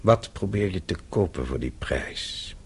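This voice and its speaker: Deep, gravelly-edged defiant captive. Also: male voice, expressive, heavily acted. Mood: defiant, scornful. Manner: sardonic, blunt, halting at times. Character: defiant, weary captive businessman, older father. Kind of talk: Dutch radio drama acting.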